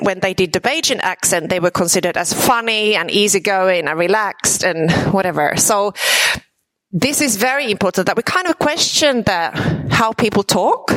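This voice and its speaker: A woman's voice: mid-range voice, a bit bright, rough recording. Chatty woman lecturer. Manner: conversational, frequent fillers and checking in with "okay?". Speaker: university lecturer. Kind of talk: classroom lecture with student questions.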